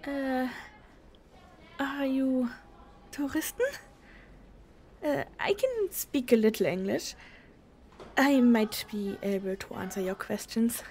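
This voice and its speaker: An actress playing an anxious German hotel desk clerk in an audio drama, breathy and guarded, speaking haltingly with a German accent. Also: female voice, fairly high pitch, animated, darkish tone, slight background noise. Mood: polite, reserved. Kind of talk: audio roleplay acting.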